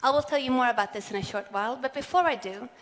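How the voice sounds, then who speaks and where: A woman, giving a measured, earnest prepared keynote speech on stage. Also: a little high, fairly bright tone. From Sweden. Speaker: corporate sustainability speaker. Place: large event hall on stage. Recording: long hall reverb.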